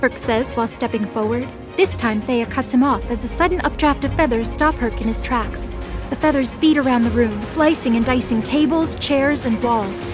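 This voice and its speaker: High-pitched woman narrating an audio drama. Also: moderate pace, over music.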